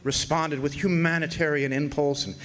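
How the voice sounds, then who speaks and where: A man's voice: a little high, steady tone, normal speed, fairly bright tone, slight background noise, lightly acted. A man playing a wry, folksy narrator who recalls an old frontier court case in a radio drama, with hesitant storytelling. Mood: wry, reflective.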